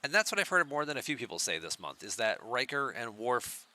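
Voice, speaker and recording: A man chatting casually on a live game stream, answering viewers in a relaxed, soft-spoken way at a home computer mic. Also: fairly quick. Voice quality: soft-spoken, low volume.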